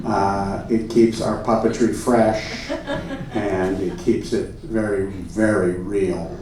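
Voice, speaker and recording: A man performing on a theater stage in a comedy show, speaking with deadpan, mock-earnest solemnity as a presenter. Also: fairly low pitch, takes it slowly, noisy background. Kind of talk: comedy stage show, solemn story narration and audience address. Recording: echoey room, far from the mic, music.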